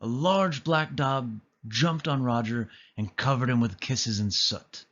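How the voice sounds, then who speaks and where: A man reading a children's story aloud as an expressive narrator. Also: mid-range voice, unhurried, muffled recording. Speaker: storybook reader.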